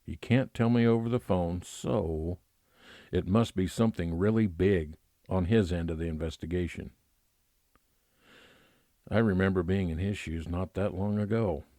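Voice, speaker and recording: A man playing a weary, nostalgic private investigator, narrating an old-time radio detective drama in a measured, reflective way. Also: sits low, warm tone, no echo, clean studio sound.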